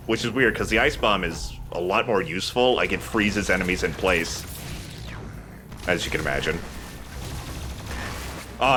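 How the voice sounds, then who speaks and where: Bright, lively low-voiced man. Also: slight background noise. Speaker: let's play host playing the game. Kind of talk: casual gaming commentary.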